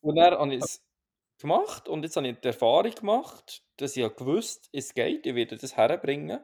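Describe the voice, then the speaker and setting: A man with a Swiss German accent, co-hosting a podcast in a calm, reflective chat with many restarts. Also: a little high, moderate pace. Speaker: podcast co-host.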